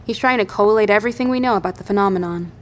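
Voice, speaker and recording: A woman playing a brisk lieutenant, mid-pitched. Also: a little hiss or hum, lightly acted. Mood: businesslike. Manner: matter-of-fact. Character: brisk, helpful female military lieutenant after an apocalypse. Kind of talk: naturalistic audio drama dialogue.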